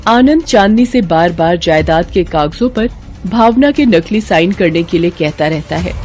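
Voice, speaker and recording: A woman's voice: on the low side, darkish tone. A woman reading a scripted moral bedtime story as narrator, with music in the background.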